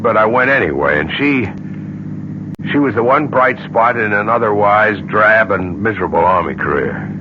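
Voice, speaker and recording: An actor in a radio drama playing a disillusioned middle-aged man, terse, guarded and weary. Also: low voice. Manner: terse, flat, world-weary. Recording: muffled, noisy old radio recording.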